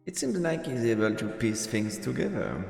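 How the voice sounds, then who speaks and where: A man streaming a visual novel, reading the narration aloud in an expressive storyteller manner with asides. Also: medium pitch, moderate pace, creaky. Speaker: streamer, let's player. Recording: echoey reverberant room, music behind. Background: game music.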